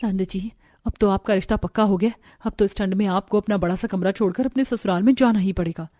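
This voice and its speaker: A woman's voice: medium pitch, steady tone, fast talker, warm tone, no room sound, clean studio sound, natural voice. A woman playing a young daughter-in-law in a family cartoon. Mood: taunting.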